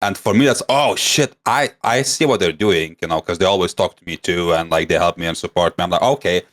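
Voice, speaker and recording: Low-voiced man with a Lithuanian English accent. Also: brisk, fairly bright tone. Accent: Lithuanian English, mixed. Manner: rambling, many fillers and restarts. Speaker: guest, streamer. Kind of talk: casual podcast chat.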